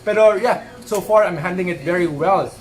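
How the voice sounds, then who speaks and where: A man's voice: sits high, faint music behind, low-quality recording. A young man answering fan questions in a casual live-stream chat, earnest and switching between English and Tagalog as he talks. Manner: says he stutters when his thoughts run fast.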